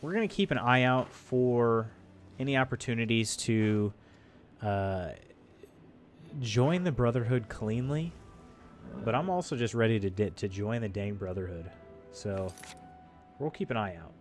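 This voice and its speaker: A man's voice: medium pitch, a little slow, pauses often, faint music behind. A congested man chatting loosely on a game livestream, rambling through his thoughts with plenty of fillers and restarts. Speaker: streamer. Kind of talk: casual livestream chat. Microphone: desk microphone. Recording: dry close sound.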